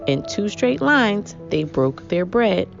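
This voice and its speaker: A woman reading aloud to young children as host, with an expressive, measured storybook delivery. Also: mid-range voice, creaky. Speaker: children's book reader, host. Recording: muffled audio with prominent music.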